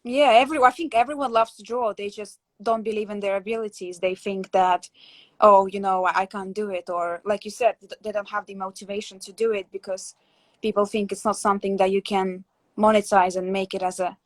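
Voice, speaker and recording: A young woman from Latvia hosts a relaxed conversation over a video call, thinking aloud with frequent fillers and restarts. Her voice sits in a middle pitch and sounds dry and close, with no room echo. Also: brisk. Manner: reflective, many fillers and restarts. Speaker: host. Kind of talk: casual interview chat.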